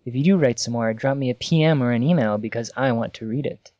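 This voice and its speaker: A man casually reading amateur fan fiction aloud on a podcast, halting and amused, with asides. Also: medium pitch, mellow, no room sound, lo-fi sound.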